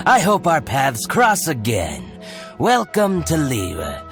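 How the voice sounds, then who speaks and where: An actor playing a sly, chatty small-time merchant with an ingratiating, theatrical manner in a video game. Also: male voice, on the high side, huge pitch swings, very slow, fairly bright tone, heavily acted. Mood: chatty, welcoming. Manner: coy, smooth-talking, ingratiating. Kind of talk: video game character dialogue. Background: music.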